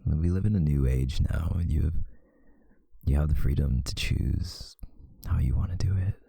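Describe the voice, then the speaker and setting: A man playing a tender, protective vampire lover in an intimate ASMR roleplay, speaking in a husky, hushed voice with deliberate pauses. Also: dry recording, studio quality, exaggerated voice. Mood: soothing, patient. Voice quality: very deep, gravelly, husky, breathy, often near a whisper.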